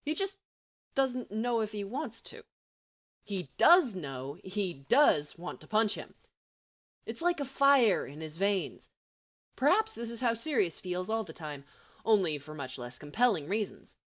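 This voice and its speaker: A woman reading a story aloud as an amateur audiobook narrator, in an expressive, dramatic and wry manner. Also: medium pitch, a little slow. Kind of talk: expressive scripted fiction narration.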